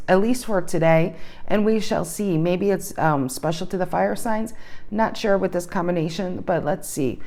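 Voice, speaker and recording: A woman's voice: lowish voice, darkish tone. A woman giving a casual tarot reading to her viewers, thinking aloud and repeating her questions as she pulls cards, her voice slightly worn. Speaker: tarot reader.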